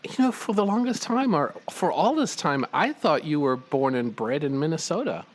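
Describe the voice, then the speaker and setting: A man's voice: fairly high pitch, expressive, normal speed, a little hiss or hum. A man hosting a podcast, asking friendly, curious questions and often chuckling. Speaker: podcast host. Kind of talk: conversational interview.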